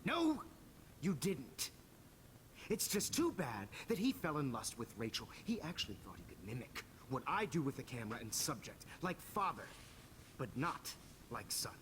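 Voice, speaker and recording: An actor playing a smug, menacing teacher and photographer villain in a video game, with a calm, condescending, gloating delivery. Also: male voice, medium pitch, normal speed, a little hiss or hum, rough recording. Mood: taunting, irritated. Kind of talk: dramatic video game acting.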